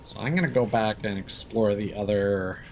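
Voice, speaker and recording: A man's voice: on the low side, moderate pace, a little mellow, a little hiss or hum. A man giving casual live commentary as he plays a game, with faint game sound behind him. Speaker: game streamer.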